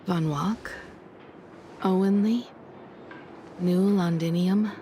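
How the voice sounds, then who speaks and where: An actress playing a weary, guarded young woman in a video game, solemn, restrained and quietly wounded. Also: lowish voice, steady tone, drawn-out words, a little hiss or hum. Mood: guarded, tender. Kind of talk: dramatic video game voice acting. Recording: music under the voice.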